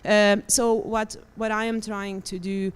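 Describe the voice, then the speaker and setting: A woman's voice: mid-range voice, flat delivery, unhurried, clear, resonant tone. A woman from Hungary, speaking as a panelist on media literacy, hesitant with many ums and uhs. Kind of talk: panel discussion.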